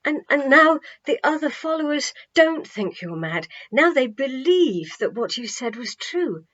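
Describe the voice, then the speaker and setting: Storybook role: amazed female listener, voiced by a woman narrator, hushed, breathy, full of wonder; slightly muffled sound. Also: high voice, big pitch swings, a little slow, voice pushed up, heavily acted. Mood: awed. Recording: slightly dull home recording.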